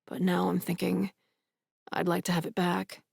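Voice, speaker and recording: A woman voicing a hushed, gentle young man. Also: lowish voice, completely flat delivery, normal speed, creaky, husky, no echo, studio quality, heavily acted. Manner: soft, hushed, sometimes whispering. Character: young man, gentle and low-voiced lover.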